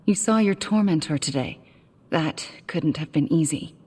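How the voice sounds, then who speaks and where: Low, gentle heroine. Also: female voice, animated, moderate pace, breathy edge. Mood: reassuring. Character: gentle, reassuring female superhero, a loyal sister. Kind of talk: video game cutscene acting.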